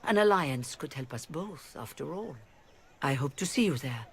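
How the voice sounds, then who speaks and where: An actress playing a poised woman who leads the mages, speaking in a measured, courteous but shrewd way. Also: low-pitched, animated, slightly rough, heavily acted. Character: poised, guarded woman mage leader. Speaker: leader of the mages.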